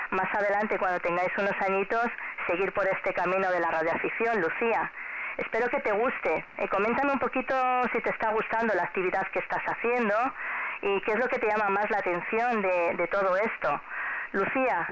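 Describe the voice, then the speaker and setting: Woman, Spain Spanish accent, friendly radio operator, muffled shortwave signal, clear static noise. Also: mid-range voice. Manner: friendly, encouraging, repeats herself. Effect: two-way shortwave radio transmission. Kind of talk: casual radio contact chat addressed to a child. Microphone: heard through a radio transceiver speaker.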